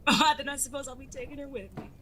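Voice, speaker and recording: A woman's voice: sits high, fast talker, sharp tone, slight background noise. A woman acting in an audio drama as a grown bandit son, charming and evasive, with a genteel, wordy, hesitant way of talking. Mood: breezy, affectionate.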